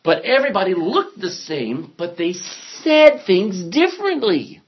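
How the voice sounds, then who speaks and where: Brief: man, Bible class teacher, animated and joking, higher voice with lots of swing, lo-fi recording. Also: a little slow. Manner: animated, joking, thinking aloud. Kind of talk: classroom lecture.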